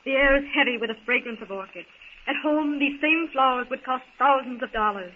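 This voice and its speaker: A woman's voice: high voice, fairly quick, close to an everyday voice. An actress playing an anxious, well-bred young woman stranded in the jungle, speaking earnestly and articulately in a theatrical 1930s radio serial style. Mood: wistful. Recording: tinny, noisy 1930s radio recording.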